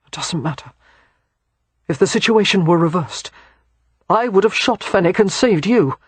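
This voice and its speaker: A man voicing a bitter, traumatized male ex-soldier who shouts his accusations in a strained, tearful voice. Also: sits high, expressive, normal speed, husky, no echo. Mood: broken. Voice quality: strained, near tears.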